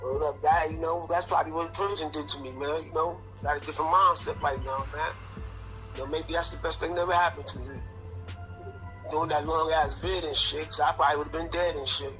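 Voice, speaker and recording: A man's voice: a little high, steady tone, a little fast, bright, forceful tone, faint music behind, rough recording. A man in his late fifties telling prison stories in a casual, streetwise way, ending almost every phrase with "man" or "son". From Connecticut. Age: 50s. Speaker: interview guest.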